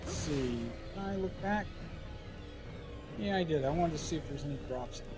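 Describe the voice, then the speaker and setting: A slightly hoarse man with a somewhat higher voice talks casually through a game walkthrough. He thinks out loud, pausing on fillers as he goes. Game music plays clearly behind him. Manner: relaxed, thinking aloud with frequent "uh" fillers. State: slightly hoarse, scratchy throat. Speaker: gameplay commentator. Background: game music and gunfire.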